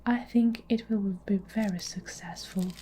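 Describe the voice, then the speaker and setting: A woman's voice: medium pitch, steady tone, a little slow, mellow, a little hiss or hum, natural voice. A woman whispering the lines of a furious, child-hating giant of a headmistress who snarls insults. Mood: scornful.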